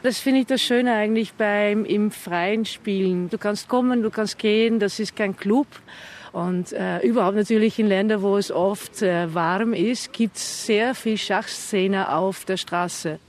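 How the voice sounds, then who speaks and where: A woman's voice: medium pitch, measured pace. Dutch woman, middle-aged, explains things in a mid-range voice. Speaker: chess initiative founder. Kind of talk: interview answers.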